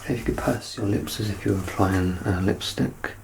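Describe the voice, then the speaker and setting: Soft spoken asmr medical roleplay, deep voice w lots of fry, half whispered, gentle instructions, noticeable noise behind it. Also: steady tone, normal speed, low-quality recording. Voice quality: hushed, often dropping to a whisper. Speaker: ASMR performer playing an examining clinician.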